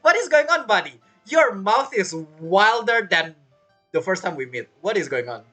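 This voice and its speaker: A woman's voice: medium pitch, very animated, moderate pace, music in the background. A woman streamer giving casual reaction commentary on a game, chatty, laughing often and speaking broken English.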